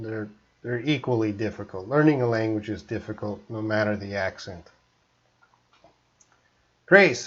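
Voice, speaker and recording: A man's voice: on the low side, highs cut off. A man in his forties from Canada with a faint Canadian accent, teaching English on a live stream and chatting with viewers as he reads out their comments. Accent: mild Canadian.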